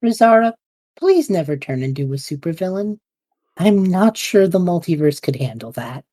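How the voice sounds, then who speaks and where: Woman playing bemused sidekick, low bright husky voice. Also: sing-song, normal speed. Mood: alarmed. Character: bemused, anxious best-friend adventurer, wryly questioning. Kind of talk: audio drama acting.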